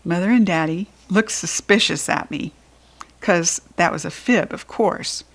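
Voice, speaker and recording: A woman's voice: lowish voice, unhurried, vocal fry. A woman with an American accent narrating a children's book expressively as a storybook reader.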